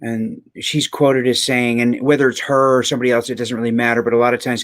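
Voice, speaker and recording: Earnest, mid-pitched male podcast co-host. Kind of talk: casual, reflective conversation.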